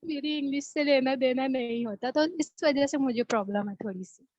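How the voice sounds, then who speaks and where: A woman with a Pakistani Urdu accent speaks earnestly and at length to her tutor. Her voice is high and mellow, coming through dull lo-fi call audio. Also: normal speed, dry recording. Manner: earnest, apologetic, talks at length about her struggles. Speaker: student. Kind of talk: casual conversation with tutor.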